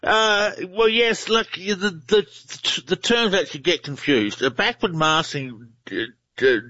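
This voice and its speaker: A man's voice: high voice, measured pace, sharp tone, no room sound. An older or middle-aged man with an Australian accent, explaining casually as an interview guest. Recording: slightly dull remote radio audio.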